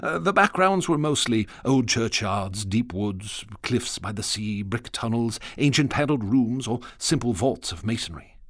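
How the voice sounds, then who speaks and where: A man narrating a radio drama in a grave, measured reading. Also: medium pitch, lively intonation, no room sound, studio quality. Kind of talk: scripted narration.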